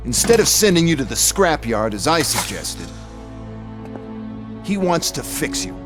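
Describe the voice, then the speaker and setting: Sneering, callous corporate bully. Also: male voice, a little high, big pitch swings, a little slow, over music. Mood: smug, taunting. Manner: sarcastic, taunting.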